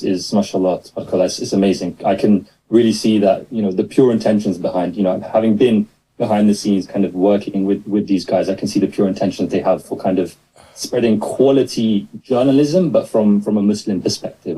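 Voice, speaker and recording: A man's voice: fairly low pitch, steady tone, brisk, warm tone, breathy edge, rough recording. A man in his twenties from London, talking hesitantly with many fillers as a guest on a video call. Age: 20s. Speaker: guest, new staff member. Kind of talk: casual interview over video call.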